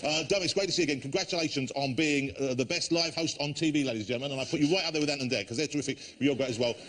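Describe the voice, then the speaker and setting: A man hosting a TV chat show, teasing his guest with quick jokes and playful banter in front of a studio audience. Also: a little high, a bit bright. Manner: fast, jokey, teasing banter. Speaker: talk show host.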